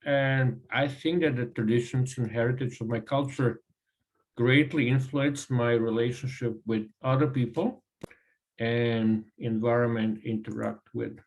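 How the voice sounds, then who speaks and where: The voice is a man with a Croatian accent, speaking as a guest presenter over a video call. His pitch sits mid-range for a man, and he talks in a halting way, filling gaps with ums and uhs. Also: poor audio. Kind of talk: online presentation.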